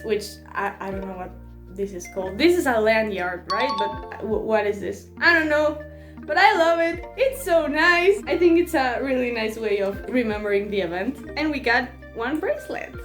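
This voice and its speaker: Young woman, kinda high voice, giggly chatty vlog, echoey room. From Mexico. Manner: chatty and giggly, with many restarts. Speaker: vlogger. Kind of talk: casual vlog narration. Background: faint background music.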